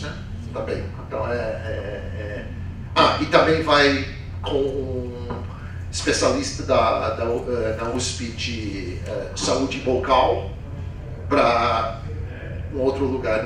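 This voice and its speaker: A man's voice: mid-range voice, a bit bright. Echoey, distant man presenting informally. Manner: halting, with repeated tag questions. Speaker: professor presenting students. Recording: very echoey room, distant mic, faint noise.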